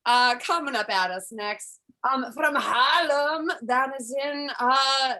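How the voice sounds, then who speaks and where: A woman's voice: high-pitched, slow, bright, forceful tone. A woman hosting a comedy open mic, chatty and playfully teasing as she introduces acts. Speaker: show host, emcee.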